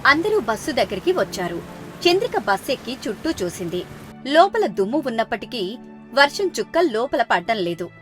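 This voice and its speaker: A woman's voice: a little high, big pitch swings, normal speed, fairly bright tone, soft background music. A woman storyteller reading a scripted children's moral story.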